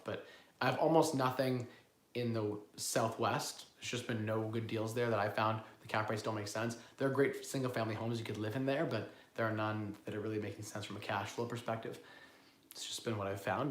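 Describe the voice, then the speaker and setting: A man with a cold, hoarse, hosting a casual live-stream Q&A on investing and rambling as he answers viewers. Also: lowish voice, steady tone, fairly quick, echoey room. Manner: rambling, self-correcting, repeats words. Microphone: phone built-in mic, no lapel.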